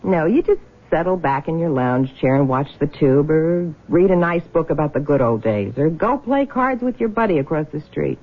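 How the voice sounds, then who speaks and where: An actress playing a scornful, restless younger wife in a 1970s radio drama, taunting and dismissive with a hard edge. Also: female voice, sits low, animated, moderate pace, hardly any pauses. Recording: muffled, band-limited vintage radio broadcast.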